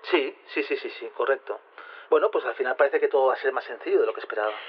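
An actor playing an agreeable member of a plot, quick to assent, in an audio drama. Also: male voice, mid-range voice, lively intonation, breathy edge. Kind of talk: naturalistic audio drama acting. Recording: dull radio-like sound.

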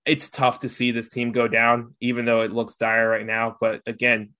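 A man's voice: mid-range voice, monotone, moderate pace, pushed and bright. A young man, a guest on a sports podcast, chatting casually with many fillers and restarts. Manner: filler-heavy, lots of "like".

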